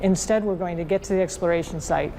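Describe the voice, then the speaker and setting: A woman's voice: lowish voice, fast talker, mellow, slight background noise, rough recording. A woman giving an explanatory interview answer as a researcher. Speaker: space researcher.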